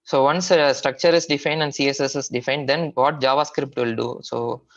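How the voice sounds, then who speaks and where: A man with an Indian accent teaches a technical class in a medium-pitched voice. He explains informally, often hesitating and repeating himself as he goes. The audio is dull and low in fidelity, like an online training recording. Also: fairly even intonation, fairly bright tone. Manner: halting, repetitive explaining with frequent hesitations. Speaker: software trainer.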